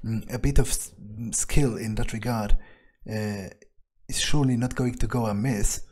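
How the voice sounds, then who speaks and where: A man's voice: mid-range voice, fairly even intonation, normal speed, a little mellow. A man roleplaying as he plays a game, thinking aloud in first person with casual swearing. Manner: musing, sweary. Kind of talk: in-character first-person musing over gameplay.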